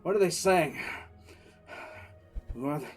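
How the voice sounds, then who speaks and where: A battered but fiercely dutiful commissar , played by a man, tells of war in an audio drama. His voice sits a little high, and he speaks intensely and haltingly, repeating phrases. Music plays behind him. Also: lively intonation. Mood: dazed, straining. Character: battered, fiercely dutiful male military commissar recounting battle. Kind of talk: first-person audio drama narration. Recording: background music under the voice.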